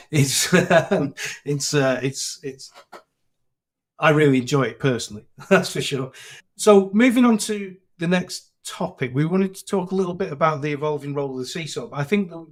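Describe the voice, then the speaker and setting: A middle-aged man hosting a podcast interview, talking casually and haltingly, often repeating words. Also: medium pitch. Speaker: podcast host.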